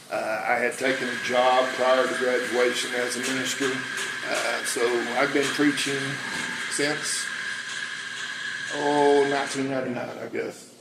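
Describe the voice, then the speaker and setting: A man of about sixty with a preacher's big voice, telling anecdotes in an informal talk. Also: medium pitch. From United States. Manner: anecdotal storytelling. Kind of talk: informal public talk and interview. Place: cafe, indoors. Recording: echoey room with clear background noise.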